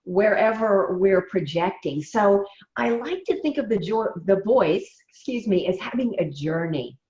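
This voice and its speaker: A woman, a voice coach giving measured, emphatic advice in a remote interview. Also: medium pitch, lively intonation, a little mellow. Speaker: voice coach guest. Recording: roomy, dull lo-fi sound.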